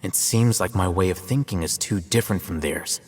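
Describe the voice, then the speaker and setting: An actor playing a cool, detached scholar in a video game, speaking in a measured, formal and deliberate way. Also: male voice, low-pitched, darkish tone, in a large hall, slight background noise, close to an everyday voice. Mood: cool, disapproving. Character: cool, analytical, detached male scholar and scribe. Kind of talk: scripted dramatic cutscene dialogue.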